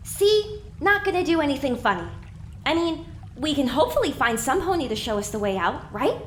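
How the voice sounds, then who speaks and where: A very high-pitched young filly, chatty and naive, with a questioning, meek streak. Her delivery swings lively through the audio drama, over faint background noise. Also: brisk. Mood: chatty, puzzled.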